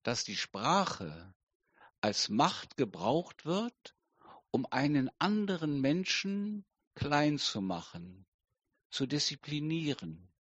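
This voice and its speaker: A middle-aged man preaching a sermon slowly and deliberately, with emphatic pauses. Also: on the high side, fairly bright tone, husky, no room sound. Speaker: pastor.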